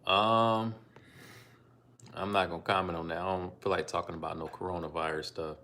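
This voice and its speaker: A man with a very low voice hosts a casual live stream, speaking through a phone. He comes from Ohio and talks in a relaxed, rambling way, pausing on ums as he reads out donor names and answers questions about learning languages. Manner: laid-back, unhurried, with frequent ums and asides. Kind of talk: casual live-stream chat reading donations.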